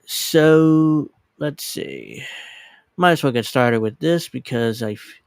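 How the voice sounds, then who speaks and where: A man in his thirties hosts a casual livestream in a clear, smooth voice at a middling pitch. He rambles in a scattered, self-deprecating way and swears casually. The sound is dry and close on a dedicated microphone. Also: animated, slow pace, no room sound. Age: 30s. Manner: casually profane. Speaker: livestream host.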